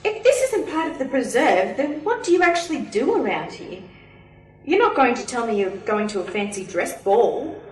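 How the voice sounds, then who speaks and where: A woman's voice: on the high side, sing-song, normal speed, a little mellow, low-quality recording. A woman playing a curious, sceptical, outspoken niece in an amateur sci-fi radio play, pert and full of questions. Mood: challenging.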